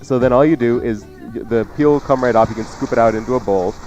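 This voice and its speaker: A man's voice: mid-range voice, fast talker, a little mellow, highs cut off. A man hosting a cooking show on the radio, chatting casually and jokingly as he gives folksy recipe instructions. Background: music under the talk.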